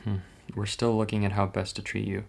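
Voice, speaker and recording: A man playing a measured hospital doctor in a horror manga dub, with a reserved, clinical manner. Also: fairly low pitch, monotone, creaky, darkish tone. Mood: calm, clinical.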